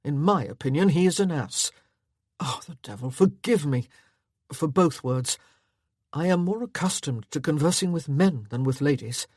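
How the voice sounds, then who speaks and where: A woman voicing a blunt, war-hardened male army officer in a storybook reading, clipped and forceful. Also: sits low, expressive, normal speed, husky, dry recording, studio quality. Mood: stern.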